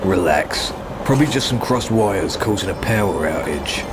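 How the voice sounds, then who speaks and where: An actor playing a brash, gruff military squad commander in a visual novel, commanding and teasing in manner. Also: male voice, low-pitched, expressive, moderate pace, noisy background. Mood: calm, ominous. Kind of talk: visual novel character acting. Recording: loud music behind the voice. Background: music.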